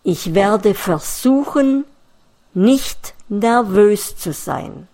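Woman reading super slow, calm and deliberate, mid pitch. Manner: calm, measured, deliberate phrasing. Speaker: reader. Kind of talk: slow scripted reading of a learner's manifesto.

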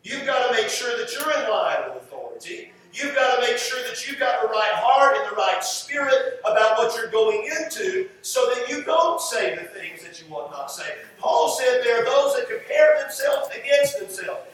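An American man preaching a sermon in an emphatic style, with rhetorical repetition and deliberate pauses. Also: very high-pitched, sing-song, fairly bright tone. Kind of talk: sermon to a congregation. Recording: echoey, distant mic, faint background noise.

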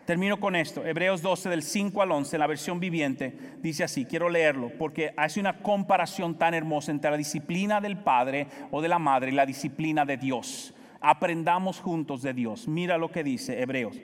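A Dominican pastor preaching with a high, ringing voice. He drives his points home by repeating words and swings from forceful to hushed. The sound carries a long hall reverb, as in a church sanctuary. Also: normal speed. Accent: Dominican Spanish. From Dominican Republic. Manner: preaching with emphatic repetition, swinging between loud and soft. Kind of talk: sermon.

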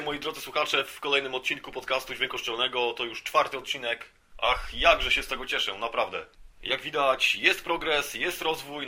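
A man's voice: mid-range voice, pushed and bright. A man hosting a solo podcast, delivering a mock-serious monologue in a tongue-in-cheek, self-important manner. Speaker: podcast host.

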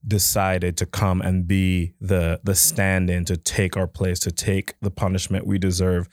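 Brief: male co-host, low voice, dry sound, upbeat and eager. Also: completely flat delivery, unhurried, darkish tone, no room sound. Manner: upbeat, enthusiastic. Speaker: podcast co-host. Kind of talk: casual podcast chat.

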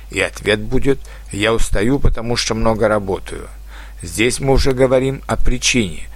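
Slow, careful man teaching grammar. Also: on the low side. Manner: slow, careful dictation-like delivery. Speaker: Russian language teacher. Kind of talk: grammar lesson for foreign learners.

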